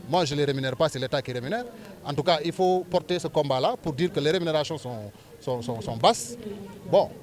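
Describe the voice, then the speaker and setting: Higher-voiced Senegalese man, emphatic. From Diourbel, Senegal. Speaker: local politician and civil servant. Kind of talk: interview answers, political pitch.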